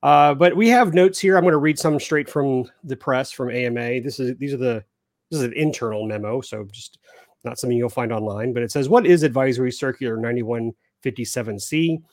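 A man's voice: mid-range voice, steady tone, a little fast, dry recording, clean studio sound. A man co-hosting a casual hobby podcast, reading notes aloud with joking asides and filler sounds. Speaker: podcast co-host.

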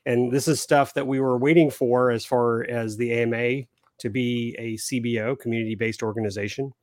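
A man's voice: medium pitch, steady tone, moderate pace, no room sound, studio quality. A man co-hosting a casual hobby podcast, reading notes aloud with joking asides and filler sounds. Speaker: podcast co-host.

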